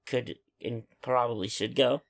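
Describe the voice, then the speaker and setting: Male co-host, medium pitch, offhand and halting, everyday conversational delivery. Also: fairly even intonation, gravelly. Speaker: podcast co-host. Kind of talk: casual chat.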